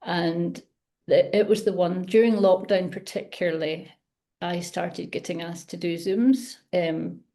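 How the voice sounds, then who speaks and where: A woman's voice: on the low side, fairly even intonation, a little slow, dark tone. A middle-aged Scottish woman, a children's author, chatting in an interview, laughing often and poking fun at herself. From Scotland. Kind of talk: casual interview chat.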